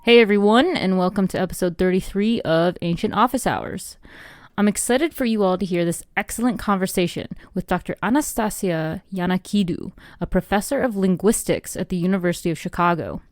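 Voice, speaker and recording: A woman's voice: mid-range voice, brisk, no room sound. A woman hosting a podcast gives an enthusiastic, self-deprecating intro monologue into a close microphone. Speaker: podcast host.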